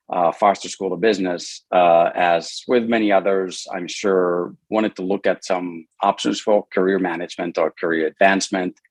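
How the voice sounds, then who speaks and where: A man's voice: sits low, dry recording. A man from Finland, a sales director and alumnus, answering interview questions over a video call, thoughtful and hesitant, with many fillers and restarts.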